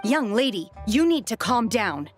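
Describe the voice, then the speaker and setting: A woman's voice: a little high, very animated, a little slow, faint music behind. A woman playing a composed, stern adult woman in a video game, formal and controlled. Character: composed, stern woman scolding an unruly younger one. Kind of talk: video game cutscene acting.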